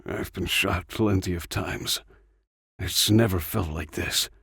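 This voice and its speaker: Male narrator as weary male soldier, gruff, stubborn, deep, slow, audiobook character. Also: steady tone, pushed and bright, dry recording, studio quality, exaggerated voice. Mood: dry, weary.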